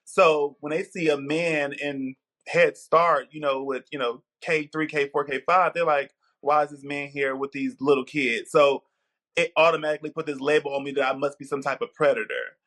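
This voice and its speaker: A man's voice: a little high, fairly even intonation, moderate pace, fairly bright tone. Outspoken man telling his story. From Milwaukee, Wisconsin. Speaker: guest, TV producer. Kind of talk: casual interview talk.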